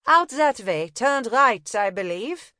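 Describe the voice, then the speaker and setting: A woman's voice: on the high side, lively intonation, slow, sharp tone, dry recording, clean studio sound, pitched above the performer's own voice, full character voice. A woman voicing a young woman thief disguised as a rag picker, feigning a German accent and playing innocent. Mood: sly innocence. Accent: put-on German.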